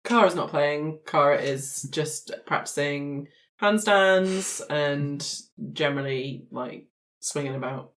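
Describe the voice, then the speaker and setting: A woman with a notably low voice takes part in a tabletop game. She speaks informally, working out her moves aloud as she goes. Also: slow, a bit bright. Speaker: roleplaying game player. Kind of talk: casual improvised chat.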